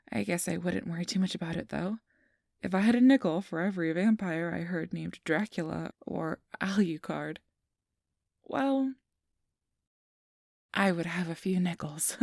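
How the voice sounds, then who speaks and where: A woman playing a friendly, easily flustered registration clerk in a soft-spoken ASMR roleplay, gentle, hesitant and reassuring. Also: lowish voice, creaky, no echo, studio quality. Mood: amused, chatty. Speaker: interviewer.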